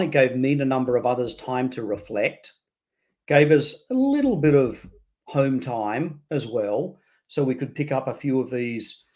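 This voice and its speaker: A man's voice: medium pitch, a little mellow. A middle-aged man with an Australian accent, answering interview questions as an expert guest in a thoughtful, explanatory way.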